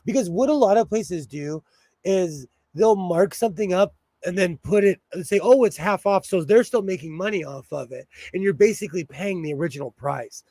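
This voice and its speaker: A man hosting a casual sewing livestream, chatty and apologetic, laughing often, his voice a little hoarse. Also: high voice, animated, moderate pace, warm tone, dry recording. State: slightly hoarse, losing his voice.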